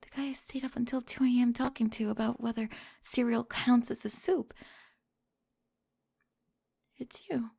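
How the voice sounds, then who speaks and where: A woman playing a remorseful, lovestruck high school cheerleader in an ASMR roleplay, breathy and hesitant, often dropping to a whisper. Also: flat delivery, normal speed, pauses often, warm tone. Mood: nervous, stunned. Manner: hesitant, emotional, confessional. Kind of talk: ASMR romantic roleplay monologue.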